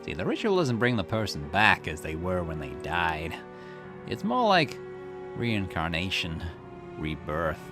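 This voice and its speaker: A ruthless, grief-obsessed man from a game, read aloud by a man in a low voice that snarls and flares into outbursts. Game music plays clearly underneath. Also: huge pitch swings, unhurried, heavily acted. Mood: brooding. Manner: snarling, defensive, rising to outbursts. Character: bitter grieving middle-aged man, obsessive and ruthless. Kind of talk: reading game dialogue aloud.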